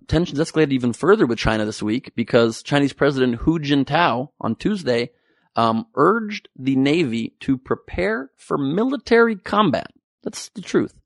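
Young man, gravelly fry, dry close sound, irreverent comedic news rant. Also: mid-range voice, no echo. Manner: irreverent, profane, joking asides. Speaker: comedy commentary host.

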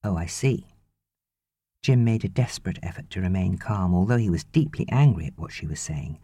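Mellow, expressive British female storyteller. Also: very deep voice, fairly quick, husky, no room sound. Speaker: audiobook narrator. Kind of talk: expressive scripted fiction narration.

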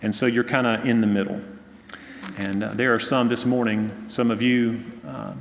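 A man serving as pastor, giving a measured, earnest sermon to his congregation, with frequent fillers. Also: medium pitch, little pitch movement, slight background noise. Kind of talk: sermon with pastoral prayer concerns. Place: church sanctuary. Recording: long church reverb.

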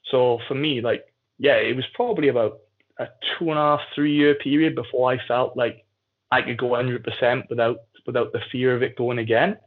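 A man from the South Wales Valleys tells stories in a candid, informal way, as a guest in a relaxed conversation. The audio is narrow and phone-like, as if over a call. His pitch is average for a man. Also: a little fast. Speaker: podcast guest, jiu-jitsu athlete. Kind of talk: casual conversational storytelling.

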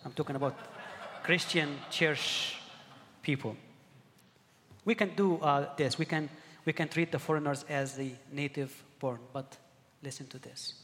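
A middle-aged man from Egypt delivers a sermon in a slightly high voice. He speaks earnestly, often doubling back and repeating words as he finds his way. His voice rings out with a long reverb, as in a large church hall. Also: measured pace, pauses often, fairly bright tone. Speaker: pastor.

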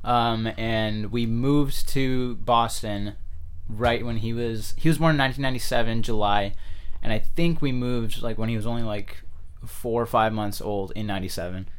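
A man's voice: mid-range voice, moderate pace, smooth. A young man from India, a native Tamil speaker, talking as an interview guest and telling childhood stories in a loose, rambling way with many fillers. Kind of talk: casual interview chat.

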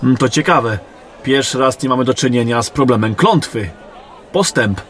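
A man's voice: mid-range voice, animated, moderate pace, fairly bright tone, a faint crowd, a little hiss or hum, lightly acted. A man playing an eager young soldier, a general's junior companion, in a fantasy audio drama. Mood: curious.

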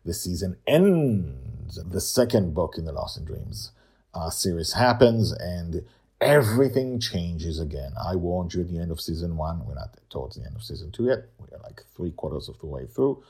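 Animated, husky, low-voiced male storyteller. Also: moderate pace. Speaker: podcast host and storyteller. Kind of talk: dramatic podcast introduction and story narration.

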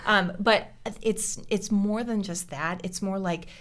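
A woman's voice: mid-range voice, fairly even intonation, a little slow, a bit bright. Chatty American woman hosting a podcast. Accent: Boston-area American. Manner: chatty, reflective, storytelling. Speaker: podcast host. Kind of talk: casual chat.

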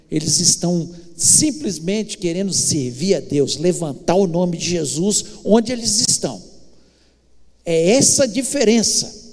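A man with a Brazilian accent preaching a church sermon, exhorting emphatically with deliberate pauses. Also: very high-pitched, a little mellow, in a large hall. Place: church, live worship service.

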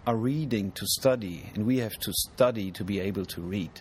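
A man's voice: lowish voice, brisk, a little mellow. A man, an artist, explains his work in an interview, thoughtful and full of ums. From Germany. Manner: thoughtful, hesitant, many ums. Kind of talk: casual interview. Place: outdoors at an art fair. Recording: noisy outdoor recording.

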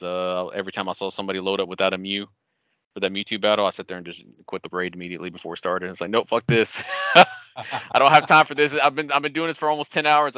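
A man's voice: lowish voice, bright, forceful tone, clean tone, no room sound, muffled recording. A man co-hosting a casual gaming podcast, rambling through self-mocking stories peppered with profanity. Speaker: podcast co-host.

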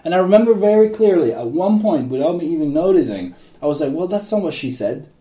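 A man from Uruguay, a native Spanish speaker, answering interview questions in a relaxed, rambling way with many restarts. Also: a little high, fast talker, reverberant. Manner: rambling, frequent restarts and fillers. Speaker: guest.

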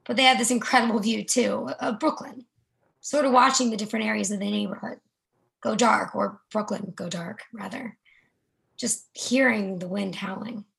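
Brief: female reader as a chatty evacuee, strong vocal fry. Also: medium pitch, fairly even intonation, moderate pace, a bit bright, low-quality recording. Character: woman who sheltered with friends in a high rise.

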